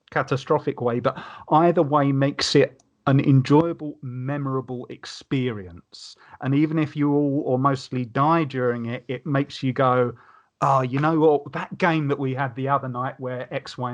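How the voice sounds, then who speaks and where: A man's voice: mid-range voice, muffled recording. A man in a casual podcast discussion, telling wordy anecdotes with emphasis.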